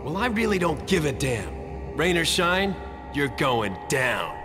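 An actor playing a tough, defiant, wisecracking young American agent in a video game. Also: male voice, a little high, very animated, a little slow, over loud music. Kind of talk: video game action hero acting.

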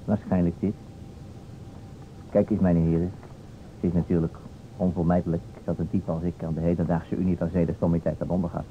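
A man's voice: low voice, flat delivery, a little fast, pauses often, close to an everyday voice. An actor playing a tall, thin, sardonic policeman in an old radio play. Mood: mocking, pompous. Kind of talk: old-time Dutch radio play acting. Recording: dull old radio recording, faint hiss.

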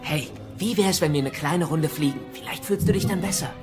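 A man's voice: a little high, fairly quick, darkish tone, soft background music, lightly acted. An actor playing an earnest, dry-witted teenage Viking boy in a cartoon audio drama. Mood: gentle.

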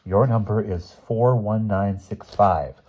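A cheeky storybook schoolgirl done by a male reader, breezy and sure of herself. The delivery is airy and offhand, with a husky grain. The recording is lo-fi. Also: lowish voice, takes it slowly. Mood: chatty.

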